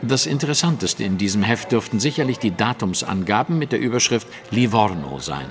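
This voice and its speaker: A man's voice: on the low side, lively intonation, a little fast, a little hiss or hum. A man playing a keen, self-assured detective in an audio drama, speaking with formal precision. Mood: analytical.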